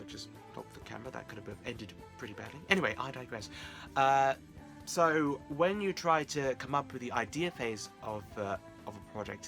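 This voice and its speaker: A man's voice: mid-range voice, a bit bright. A British man chatting casually to camera and giving beginner advice in a rambling, wry, self-deprecating way. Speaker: amateur audio drama creator and vlogger.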